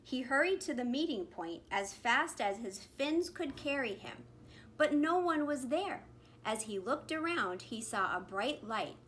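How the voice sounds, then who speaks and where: A woman's voice: sits high, expressive, unhurried, fairly bright tone. A woman reading a picture book aloud expressively to young children. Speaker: kindergarten principal. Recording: faint background noise.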